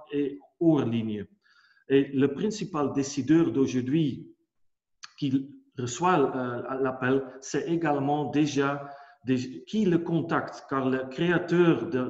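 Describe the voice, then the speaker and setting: A man's voice: medium pitch, a little mellow. A man from the Netherlands with a Dutch accent, a company co-founder giving a webinar presentation in a hesitant way, full of fillers and repeated words. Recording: roomy, dull lo-fi sound.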